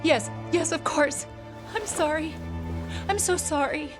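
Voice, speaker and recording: An actress playing a desperate, anguished wife in a gothic audio drama, pleading and accusing. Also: female voice, high-pitched, takes it slowly. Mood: frightened pleading. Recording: music under the voice.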